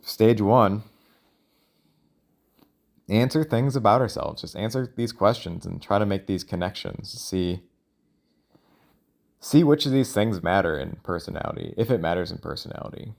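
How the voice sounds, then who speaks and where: Low-voiced man musing out loud. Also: expressive, creaky. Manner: musing, halting, self-questioning. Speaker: solo audio log creator. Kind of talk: casual rambling monologue, thinking out loud.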